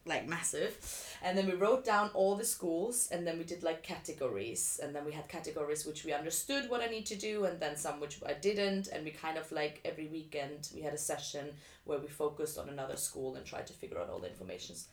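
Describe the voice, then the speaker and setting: Low woman, echoey room. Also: animated, brisk, hardly any pauses. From Vienna, Austria. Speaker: interview guest, dancer. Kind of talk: casual chat, telling personal experiences. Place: living room.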